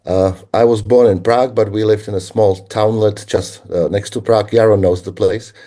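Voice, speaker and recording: A man with a Czech accent answers interview questions in English, his voice fairly low and warm with a relaxed, mellow tone. He talks in a reflective, halting way, pausing often to find his words. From Czech Republic. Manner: reflective, halting, with frequent pauses. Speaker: guest linguist.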